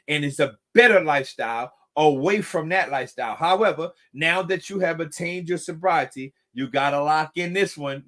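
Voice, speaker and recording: A man's voice: fairly high pitch, a bit bright. A middle-aged man leading a recovery group, giving a motivational talk that repeats phrases for emphasis and calls the listeners y'all.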